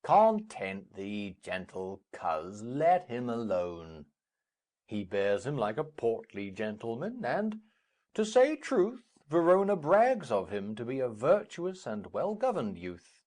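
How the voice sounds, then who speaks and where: An actor playing a jovial, blustering old lord in theatrical Shakespearean style, booming and declaiming. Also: male voice, very animated, slow, a little mellow. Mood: placating, firm.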